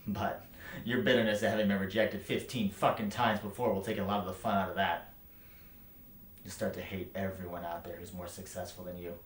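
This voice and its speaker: An actor playing a bitter, brutally frank writing teacher in a theatrical monologue, speaking with scorn, emphasis and profanity. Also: male voice, deep voice, natural voice. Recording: roomy echo, light background noise.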